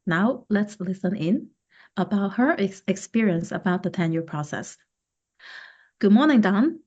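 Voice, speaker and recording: A woman hosting an interview podcast, putting questions to her guest in deliberate, somewhat halting phrases. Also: mid-range voice, expressive, a little mellow. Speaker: podcast host. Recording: muffled lo-fi podcast recording.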